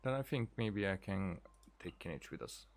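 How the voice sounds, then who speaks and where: A man's voice: deep voice, big pitch swings, moderate pace, vocal fry, a little hiss or hum. A man giving casual let's play commentary, thinking aloud in short muttered fragments. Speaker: gaming streamer. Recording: game music behind.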